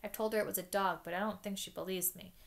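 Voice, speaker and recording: A woman's voice: mid-range voice, steady tone, creaky, lightly acted. A woman reading aloud voices an anxious teenage boy wizard in a storybook. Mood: fretful. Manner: whispering, then fretful and rushed.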